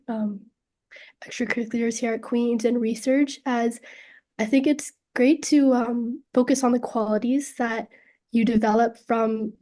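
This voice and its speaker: Hoarse young woman recovering from a cold. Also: on the high side, warm tone. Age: young adult. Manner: hesitant, frequent fillers and apologies. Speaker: university student panelist. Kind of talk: casual panel answer.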